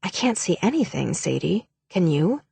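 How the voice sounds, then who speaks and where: A woman's voice: lowish voice, sing-song, moderate pace, gravelly, a little mellow, breathy edge, no echo, exaggerated voice. Woman playing a nervous teen mermaid girl. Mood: puzzled murmur. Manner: murmuring, earnest. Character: nervous thin teenage mermaid girl, protective.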